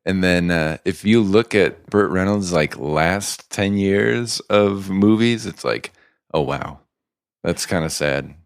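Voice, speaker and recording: Man with a cold, very low. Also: takes it slowly, smooth, no echo, studio quality. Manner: rambling, trails off, restarts. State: sick with a cold, deep rough voice. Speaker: podcast co-host. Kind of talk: casual chat.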